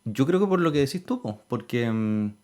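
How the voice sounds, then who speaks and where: A man's voice: medium pitch, lively intonation, moderate pace, a little mellow. A man with a Chilean accent, hosting a casual, conversational interview.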